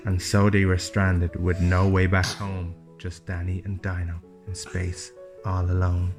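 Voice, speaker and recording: Man, low register, father reading a bedtime picture book with casual playful asides over faint music. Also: completely flat delivery, unhurried. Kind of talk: storybook read-aloud with casual asides. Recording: music playing behind the voice. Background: plucked guitar music.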